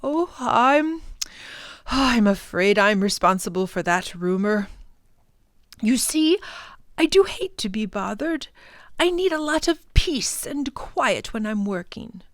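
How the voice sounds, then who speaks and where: A woman voicing a kindly, courteous male professor in a storybook reading, in her own lively, slow voice. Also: fairly high pitch, no room sound, studio quality. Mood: earnest. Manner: gentle and courteous. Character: kindly, polite middle-aged male professor.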